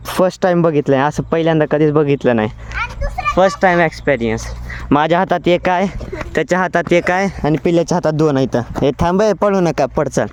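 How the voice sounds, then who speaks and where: A young man with a rural Marathwada accent, chatting casually as he vlogs his day. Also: brisk. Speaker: vlogger. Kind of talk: casual vlog chat. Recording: noisy outdoor vlog, traffic rumble.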